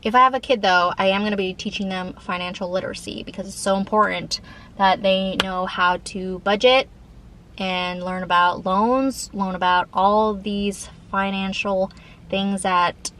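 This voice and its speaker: A woman of thirty talks to her viewers in a casual, rambling vlog style, dropping in "like" often. Her pitch is average for a woman, and faint ambient noise sits behind her. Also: fairly even intonation, measured pace, vocal fry, a bit bright, poor audio. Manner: informal, rambling, frequent filler "like". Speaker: lifestyle vlogger. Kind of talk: casual chat to camera.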